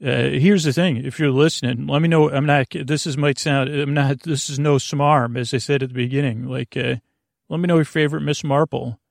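Rambling gravelly-voiced man hosting a sleep podcast. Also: mid-range voice, fairly even intonation, moderate pace, no room sound, clean studio sound. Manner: meandering, goofy, full of fillers and restarts. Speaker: podcast host. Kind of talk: rambling bedtime podcast monologue.

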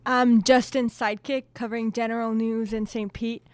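Young woman with a high, dry voice. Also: level tone, normal speed, no echo. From Honduras. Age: 20s. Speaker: newspaper intern.

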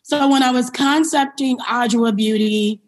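A woman with a fairly high voice speaks as a guest in an interview. She is from New York City and tells her story in a reflective, explanatory way, leaning on key words. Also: a little slow. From born in Liberia, grew up in New York City. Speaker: interview guest, company founder and CEO. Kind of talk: conversational interview answers, personal storytelling.

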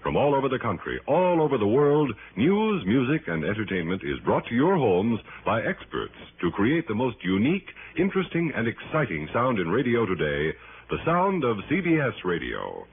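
A narrow, faintly noisy old broadcast carries a male radio announcer. His lowish voice sweeps very widely in pitch, with polished, emphatic promo phrasing from the 1960s. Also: huge pitch swings, normal speed. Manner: polished, emphatic list-like delivery.

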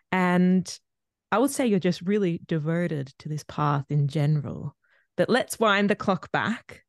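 A woman hosting a podcast with a slightly low, easy voice. She reads her introduction smoothly, then settles into relaxed conversation with a few ums. The recording is dry and close. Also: no echo. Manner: warm scripted intro then relaxed chat with fillers. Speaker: podcast host. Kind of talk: casual interview chat.

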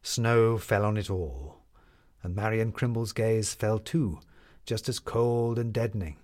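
A man narrating a scripted story in a measured, lyrical storytelling manner. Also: fairly low pitch, a little mellow.

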